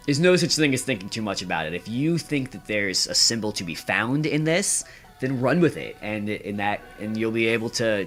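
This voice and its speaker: A man's voice: on the low side, a bit bright. A man answering quiz questions casually as a podcast guest, thinking aloud as he goes.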